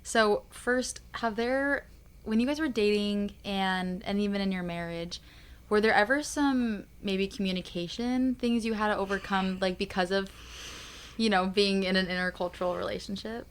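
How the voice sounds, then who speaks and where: A woman's voice: medium pitch, normal speed, smooth. A young woman co-hosting a student podcast, friendly and asking long, searching questions. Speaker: podcast co-host. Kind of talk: casual podcast interview.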